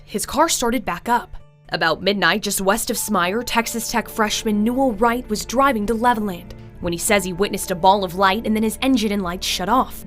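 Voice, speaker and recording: A woman reads a scripted television-style news report as a reporter. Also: medium pitch. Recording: music bed under the voice. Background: background music.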